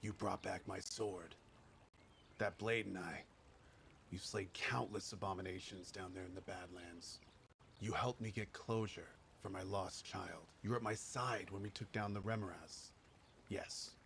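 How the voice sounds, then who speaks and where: A man playing a gruff, drunken veteran warrior, haunted but eager to fight, in a video game. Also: sits low, steady tone, measured pace, fairly bright tone, a little hiss or hum, heavily acted. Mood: determined. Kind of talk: dramatic video game dialogue.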